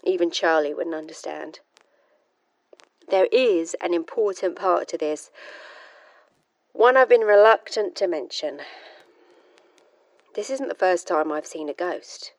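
A wry frustrated lady physicist doing a secret audio diary, lowish voice, dry sound, radio drama style. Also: unhurried, long pauses, a little mellow, no echo. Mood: wary, secretive. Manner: wry, clipped, confiding, precise. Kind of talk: audio drama acting as recorded diary monologue.

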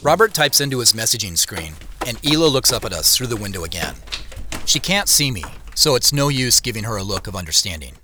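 An actor playing a measured, wry tech company boss who narrates an audio drama in the first person. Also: male voice, on the low side, sing-song, background noise. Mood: wry, reflective. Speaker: narrator and lead character.

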